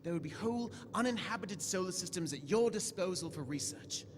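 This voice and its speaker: A man playing a high-voiced, grandiose time-traveller. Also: expressive, fairly quick, slight background noise. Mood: firm persuasion. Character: eccentric, commanding, kindly male time-travelling alien adventurer. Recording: echoey stage hall with music.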